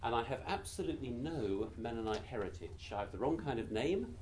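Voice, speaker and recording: A man with a British accent and a naturally quiet voice, giving an informal talk to a group in a gentle, measured way with frequent small hesitations. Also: mid-range voice, lively intonation, noisy background. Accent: British English. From Britain. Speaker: guest speaker.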